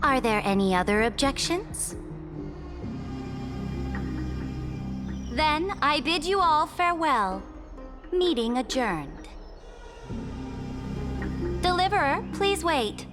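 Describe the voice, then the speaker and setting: A haughty game empress, high in pitch and richly expressive. She speaks with deliberate, regal command and cool amusement, over clear background music. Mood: formal, commanding. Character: imperious young woman emperor, coolly amused, grandiose and commanding. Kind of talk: scripted video game voice acting.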